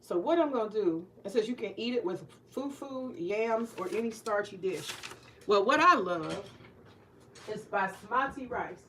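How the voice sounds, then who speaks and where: A woman's voice: medium pitch, expressive, unhurried, a bit bright, slight background noise, poor audio. A woman in her forties, a retired teacher, chatting candidly to viewers as she cooks in her home kitchen, joking and swearing freely. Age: 40s. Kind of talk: casual cooking vlog chat.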